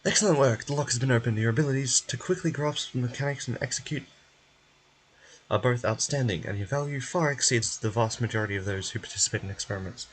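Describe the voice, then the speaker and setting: A man's voice: medium pitch, quick pace, a little hiss or hum, lightly acted, low-quality recording. A man voicing a stern, meticulous research scientist in a game, speaking in a formal, blunt, exasperated way. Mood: formal approval.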